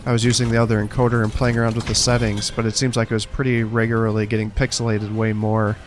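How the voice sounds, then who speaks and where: A man recovering from the flu, his voice congested, weak and cracking, giving relaxed gameplay commentary. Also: on the low side, fast talker, a little hiss or hum. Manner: relaxed, explanatory, many fillers. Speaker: gaming channel host. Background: loud game music.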